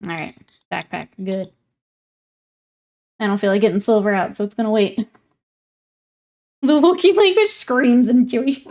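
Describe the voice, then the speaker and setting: Chatty woman streamer, easygoing and high-voiced. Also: moderate pace, long pauses, a little mellow. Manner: chatty and easygoing, thinking aloud while working. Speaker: streamer painting miniatures. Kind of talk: casual live-stream chat, reading viewer comments aloud.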